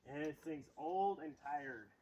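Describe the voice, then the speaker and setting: A man's voice: a little high, dark tone, muffled recording. A man farmer in casual chat by his tractor outdoors, making dry joking remarks. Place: outdoors on a hay field by the tractor. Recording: engine noise behind.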